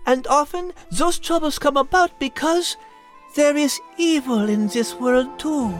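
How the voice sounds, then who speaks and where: An actress playing a refined, sensible French cat who co-hosts a scripted podcast, with a French accent. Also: female voice, high-pitched, unhurried, faint music behind. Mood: earnest. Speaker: co-host in character. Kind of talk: scripted podcast banter and storytelling.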